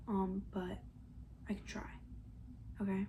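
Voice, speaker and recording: A woman doing soft-spoken ASMR roleplay as a camp counselor, chatting in a gentle, breathy, rambling way that often slips into a whisper. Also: mid-range voice, monotone, takes it slowly, highs cut off, slight background noise. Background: banjo.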